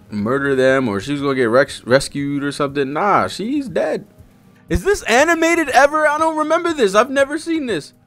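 A man's voice: fairly high pitch, fairly bright tone. A man in his thirties gives casual, slangy, profane live reaction commentary into a close desk microphone, reading panels aloud as he goes. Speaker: manga reaction streamer. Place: small indoor streaming setup. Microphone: close desk-arm microphone.

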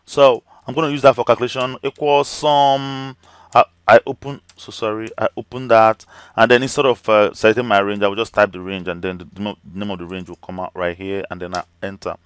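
A man's voice: fairly low pitch, little pitch movement, a little fast, smooth, no room sound. A male teacher talking through a screen-recorded spreadsheet lesson, chatty, with many fillers, his voice slightly cracking. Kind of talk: screen-recorded software tutorial.

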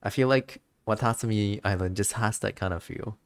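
A man's voice: lowish voice, normal speed. A man streaming a game with casual, musing commentary, a little hesitant as he thinks aloud.

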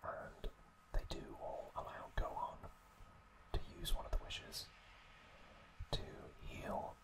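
A man whispering breathily and close to the microphone as an ASMR host, recounting in a hushed, halting way. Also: rough recording. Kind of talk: whispered ASMR recap narration.

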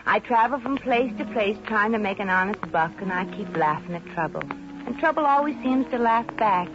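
A woman's voice: mid-range voice, expressive, normal speed, over music, lightly acted. An actress playing a plucky, wisecracking, broke showgirl in a 1950s radio comedy, with a brassy, wry, slangy way of talking. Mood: wry storytelling. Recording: dull, noisy 1950 radio recording.

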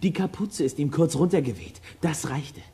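A performer playing a bold, practical boy detective with a brisk, decisive manner in a children's audio drama. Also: mid-range voice, vocal fry, dark tone, slightly rough. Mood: earnest.

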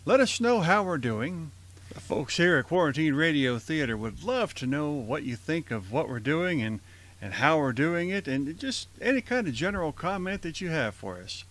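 A man's voice: on the high side, big pitch swings, moderate pace, a bit bright, rough recording. A man hosting and announcing a radio theater outro, addressing listeners directly in a friendly, scripted way. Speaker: host and announcer.

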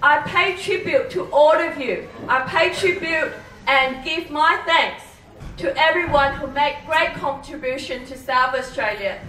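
A woman with a high voice speaks into a handheld mic on a club hall stage, measured and earnest, English being her second language. The sound is echoey and distant, caught by a camera far back with a faint hiss. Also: bright, forceful tone. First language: not English. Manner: measured, earnest, with some hesitations. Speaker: politician giving a speech. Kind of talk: formal ceremony speech.

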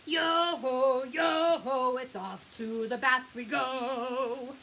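A woman playing rowdy, playful little child pirates from a storybook, shouting drawn-out pirate talk. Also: very high voice, lively intonation, gravelly, slight background noise, voice pushed up, heavily acted, rough recording. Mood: boisterous, playful.

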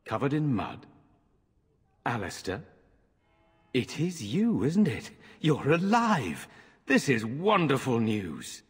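Husky fantasy game lord, grave and formal, big echoey hall. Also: male voice, medium pitch, huge pitch swings, full character voice. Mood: surprised, joyful. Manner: formal, earnest, measured. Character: grave, courteous noble lord defending his village. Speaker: game character. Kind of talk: dramatic fantasy game acting. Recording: reverberant stone hall.

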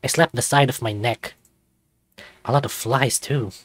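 A man reading a visual novel aloud as scripted, expressive first-person narration. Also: medium pitch, unhurried.

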